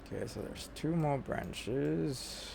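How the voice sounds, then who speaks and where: Slow, creaky, offhand game commentator. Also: mid-range voice, animated, noisy background. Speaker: game streamer. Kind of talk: casual playthrough commentary.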